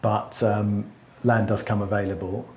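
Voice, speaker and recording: A man's voice: on the low side, level tone, measured pace, darkish tone, echoey room. A man explaining land buying at length in a casual chat, with many fillers and restarts. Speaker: smallholding expert.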